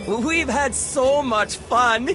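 A woman's voice: high voice, very slow, music in the background. A woman voicing a friendly, cheerful cow with a hospitable manner in a children's cartoon.

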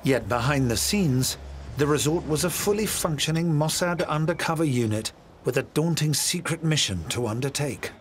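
A man narrating a documentary in a measured, scripted way. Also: on the high side, slight background noise. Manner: measured, even storytelling.

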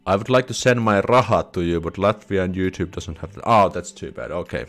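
A man's voice: low-pitched, expressive, brisk, fairly bright tone, faint music behind. A young Finnish man with a Finnish accent hosting a casual live stream at home, reading viewer comments and chatting, often restarting his words and slipping into Swedish phrases. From Finland. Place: small indoor room at home. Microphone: headset with desk mic in front.

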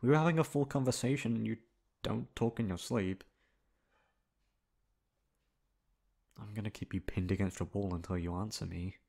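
A man's voice: on the low side, fairly even intonation, vocal fry, darkish tone. A man acting in an ASMR roleplay as a flustered, dominant young best friend, hesitant at times and blunt at others. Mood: demanding. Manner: hesitant with pauses, turning bossy and blunt. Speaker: ASMR voice actor.

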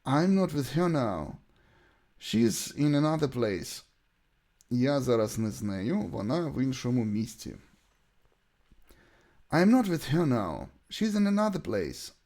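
A man gives a bilingual language lesson as a teacher, with a Ukrainian accent from his native language. His voice sits at an average male pitch but rises and falls very animatedly. He sets out short sentences deliberately, as examples to repeat. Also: sing-song, darkish tone. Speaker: English teacher.

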